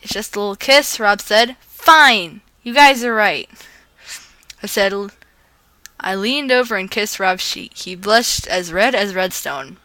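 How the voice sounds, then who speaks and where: Girl reading fanfic out loud, bright voice, kinda playful with the dialogue, dry close sound. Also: mid-range voice, unhurried, dry recording. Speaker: fan fiction reader and video host. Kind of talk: dramatized reading aloud of fan fiction.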